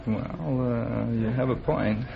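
A man's voice: medium pitch, fairly even intonation, slow pace, creaky, noisy background. A young man answering as a contestant in a hesitant, understated way. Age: young adult. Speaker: contestant, resident physician. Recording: dull old radio recording.

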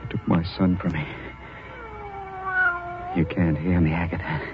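An obsessive, brooding sculptor in old radio horror. His voice is very deep and very slow, with melodramatic menace. The old recording sounds muffled. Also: flat delivery, music in the background. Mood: obsessed, gloating. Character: brooding, obsessive mad sculptor, jealous and cunning. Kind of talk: 1940s radio horror acting.

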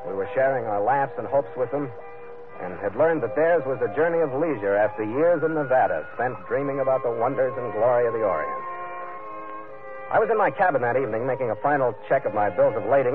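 Man acting brisk hardboiled sea captain, old radio sound. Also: mid-range voice, phone-quality sound, lightly acted. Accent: American. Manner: clipped, matter-of-fact, commanding. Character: tough, brisk American sea captain narrating his log. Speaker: ship's captain and narrator. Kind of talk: 1940s radio adventure acting, hardboiled narration. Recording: thin vintage radio broadcast with music.

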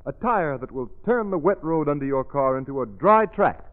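A man's voice: fairly high pitch, lively intonation, normal speed. A man delivering an old-time radio commercial as an announcer. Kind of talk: 1940s radio advertising pitch. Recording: tinny old radio recording.

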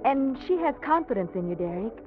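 An actress playing a warm, earnest, gently teasing young American woman in 1940s radio drama style. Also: on the high side, big pitch swings, a little fast. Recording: dull old radio recording, music behind.

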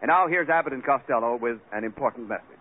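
A man's voice: medium pitch, expressive, quick pace. A man announcing a 1940s radio show, reading sponsor copy and tributes in a formal announcer's delivery. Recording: tinny 1940s radio broadcast.

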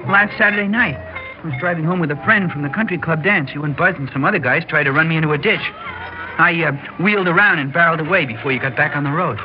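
A man as a high-voiced, resentful rich teenage boy. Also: quick pace, close to an everyday voice. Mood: nervous pride. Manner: earnest, insistent, aggrieved. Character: resentful seventeen-year-old rich boy, earnest and bitter. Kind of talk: 1960s radio drama acting. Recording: dull old radio recording with music.

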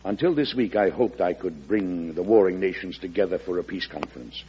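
Impassioned, husky American president. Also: male voice, low-pitched, animated, brisk, full character voice. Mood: grave, solemn. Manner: solemn, forceful, oratorical. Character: grave, impassioned American president pressing for war. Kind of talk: old radio drama acting. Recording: muffled old radio recording.

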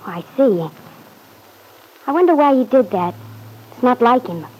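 A young wife in an old-time radio drama, devoted and worried. Her voice sits fairly high with a husky edge. She pleads earnestly for trust in a classic radio acting style. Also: expressive, normal speed, warm tone, poor audio. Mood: worried, probing. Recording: husky-sounding vintage radio recording.

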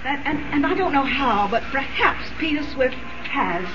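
A woman's voice: high voice, lively intonation, unhurried, music in the background. An actress playing an anxious young society woman, earnest and hesitant, in 1930s radio drama style. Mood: fearful, earnest. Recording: distant, muffled, noisy 1930s radio recording.

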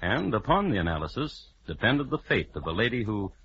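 A man's voice: lowish voice, sing-song, brisk, a bit bright. A man narrating an old-time radio crime drama with formal diction and dry irony. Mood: dry, ironic. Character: formal, drily ironic narrator of a true-crime story. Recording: muffled, noisy old radio recording.

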